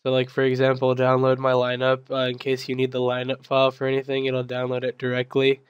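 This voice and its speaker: A man's voice: medium pitch, completely flat delivery, a little fast, clean tone, no echo, dull audio. A young man explaining a game server control panel in a casual, filler-heavy way, his voice still recovering from being sick. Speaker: server admin giving a tutorial. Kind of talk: screen-recorded software tutorial.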